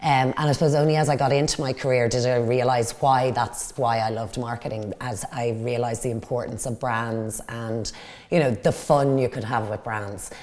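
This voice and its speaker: A woman with a Dublin accent and a strikingly deep voice. She speaks as an executive being interviewed, giving conversational, unscripted answers. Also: fairly even intonation, normal speed, darkish tone. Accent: Dublin Irish. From Dublin, Ireland. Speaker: interview guest, company executive.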